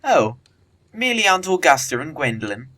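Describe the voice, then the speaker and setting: Male actor as flippant young English dandy for Victorian comedy audio play: lively, swooping delivery, bright tone, lofty and arch. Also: a little high, normal speed, exaggerated voice. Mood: mock disapproving. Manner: arch, lofty, affected phrasing. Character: flippant young upper-class English bachelor, witty idle dandy.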